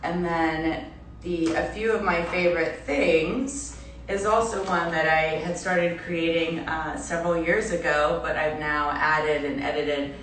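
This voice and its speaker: A woman from Belgium in her forties chatting casually on a livestream as an artist, with a halting manner and trailing restarts. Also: deep voice, a bit bright, a little hiss or hum. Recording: echoey room, distant mic, music behind.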